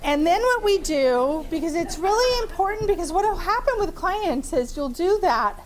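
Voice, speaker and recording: A woman therapist with a big voice leading a live training demonstration in a room with a small audience, chatty and lively, laughing often, with many fillers. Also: very high-pitched, normal speed, soft background music, rough recording.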